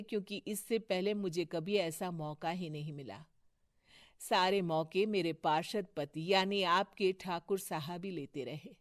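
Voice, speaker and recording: Woman as wronged wife councillor in a cartoon story, slow earnest speech, resolute, dry sound. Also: on the low side, no echo, natural voice. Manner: earnest, formal, declarative.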